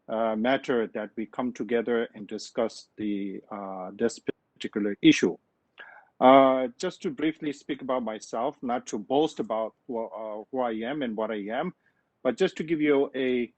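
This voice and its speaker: A man who grew up in India, a Buddhist lama speaking on a panel in a measured, unhurried way with frequent hesitations. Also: mid-range voice, no echo. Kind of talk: formal panel talk. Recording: dull dry lo-fi call audio.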